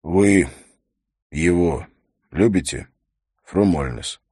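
A man's voice: low-pitched, extremely slow, darkish tone, breathy edge, no echo, studio quality, heavily acted. A man voicing a reserved, sombre investigator in an audiobook, asking questions in a restrained, serious way. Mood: careful questioning.

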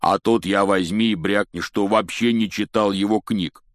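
A man voicing a big, good-natured giant groundskeeper for a storybook, with a gruff, folksy, blunt way of talking. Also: lowish voice, fairly even intonation, normal speed, no echo, clean studio sound, lightly acted. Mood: teasing, jovial. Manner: hesitant, folksy, teasing, blunt.

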